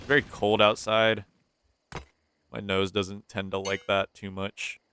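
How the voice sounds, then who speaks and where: A man's voice: fairly low pitch, little pitch movement, takes it slowly, sharp tone, slight background noise. A young man with a stuffy, nasal voice, casually commentating his gameplay, thinking aloud and repeating himself. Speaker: gaming YouTuber.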